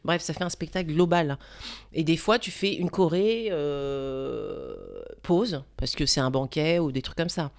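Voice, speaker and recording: A woman guest telling an anecdote in casual, slangy talk-show style, animated and self-mocking. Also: deep voice.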